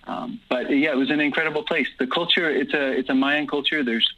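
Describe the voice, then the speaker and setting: A man's voice: fairly high pitch, steady tone, brisk, bright, forceful tone, highs cut off. A man who grew up in Guatemala and speaks Dutch as his first language, answering interview questions in easygoing, thoughtful English with no accent and plenty of ums. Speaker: guest. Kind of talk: relaxed conversational interview answers.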